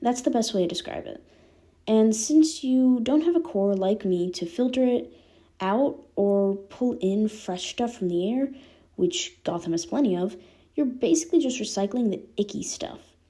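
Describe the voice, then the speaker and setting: Woman voicing a cheeky, mellow teenage boy. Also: medium pitch, moderate pace, lightly acted. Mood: explaining, teasing. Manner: casual, irreverent, candid. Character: cheeky, candid teenage boy with ghostly powers.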